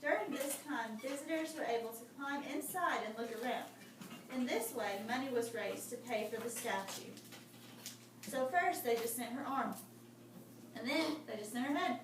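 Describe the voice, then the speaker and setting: High-voiced woman teacher, echoey noisy room. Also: normal speed, far from the mic. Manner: instructive, breaks off reading to explain and question. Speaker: teacher reading aloud to children. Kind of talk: read-aloud lesson with prompting questions.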